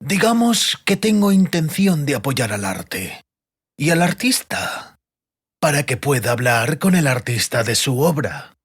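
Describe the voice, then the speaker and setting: A man voicing an oily, insinuating stranger in an audiobook, speaking slowly with drawn-out, false courtesy. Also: a little high, sing-song, husky, voice pushed up, heavily acted. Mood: smooth, insinuating. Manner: slow, drawn-out, deliberately polite. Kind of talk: audiobook character voice.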